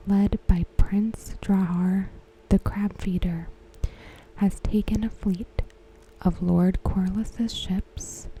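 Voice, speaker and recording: A woman whispers softly and breathily in an ASMR style, very close to the mic. Her delivery is slow and gentle, with a very dark, relaxed tone as she reads from a script. There is faint noise behind her. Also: lowish voice, poor audio. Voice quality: soft, breathy, mostly whispered. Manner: gentle, measured, deliberate phrasing. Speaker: ASMR host. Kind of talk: ASMR, softly reading a scripted episode synopsis. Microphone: close microphone.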